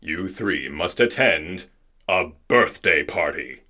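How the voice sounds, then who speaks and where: A man's voice: deep voice, animated, slow, pushed and bright, soft background music, exaggerated voice. A man playing a theatrical, put-upon narrator in a scripted radio drama. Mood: grandly ominous.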